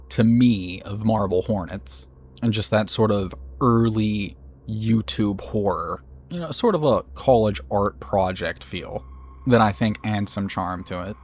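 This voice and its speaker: A man's voice: on the low side, darkish tone. A man narrating a video review in a conversational scripted style, with informal, chatty asides as host. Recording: narration over background music. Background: background music.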